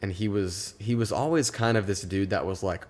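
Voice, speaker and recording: A man's voice: on the low side, fairly even intonation, normal speed, a little mellow. A young man co-hosting a podcast, chatting casually in a rambling, laughing way. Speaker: podcast co-host. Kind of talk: casual chat. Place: podcast studio.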